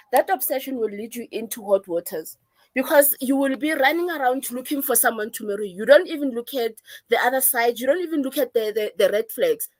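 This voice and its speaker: A South African woman in her thirties, a guest who talks earnestly and gives advice, with hesitations. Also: on the high side. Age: 30s. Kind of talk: casual chat.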